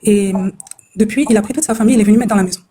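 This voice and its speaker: A woman's voice: mid-range voice, racing, dark tone, breathy edge. A woman caller from France telling her troubles, hesitant and distressed and often repeating herself.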